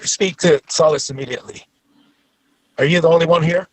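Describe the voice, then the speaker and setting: A man's voice: a little high, fairly even intonation, fairly quick, dry recording, lightly acted. A man playing a stern officer in his early thirties at a script table read, clipped and authoritative. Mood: stern, demanding.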